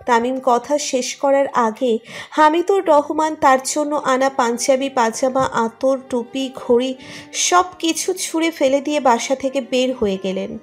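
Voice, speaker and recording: A woman's voice: sits high, unhurried. A woman reading a scripted romantic story as its narrator. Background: music under the narration.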